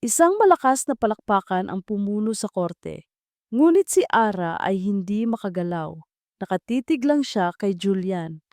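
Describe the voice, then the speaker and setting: Mellow Filipino woman narrating dramatic stories. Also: mid-range voice, very animated, moderate pace, no echo, studio quality. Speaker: story narrator. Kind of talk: dramatic scripted narration of a love story.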